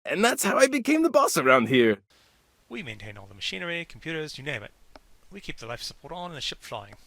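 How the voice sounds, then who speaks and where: A man voicing an eager, curious chief engineer of an aging colony starship in a video game, chatty and excitable. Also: on the high side, fast, no room sound. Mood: matter-of-fact. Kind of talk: video game character dialogue.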